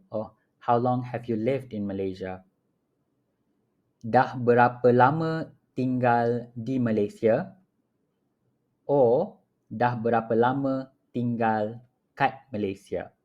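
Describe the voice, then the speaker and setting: Slow, patient male language teacher. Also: mid-range voice, darkish tone, lo-fi sound. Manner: repeats each phrase slowly and clearly for learners. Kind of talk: slow, repetitive phrase-by-phrase teaching. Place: home apartment.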